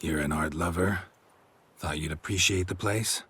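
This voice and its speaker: Very deep-voiced, stoic monster hunter. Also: male voice, moderate pace, a little hiss or hum. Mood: deadpan. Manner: terse, clipped, deadpan phrasing. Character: stoic middle-aged male monster hunter, gruff and laconic. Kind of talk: video game voice acting.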